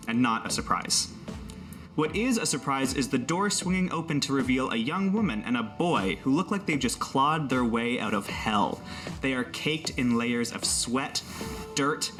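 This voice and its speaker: A man hosting as game master, moving between scripted narration and casual asides in a tabletop role-playing game. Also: mid-range voice.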